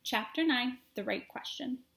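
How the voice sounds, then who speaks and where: A woman's voice: sits high, unhurried, vocal fry, a bit bright. A woman narrating a children's storybook expressively, as someone reading aloud to young listeners. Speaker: storybook reader.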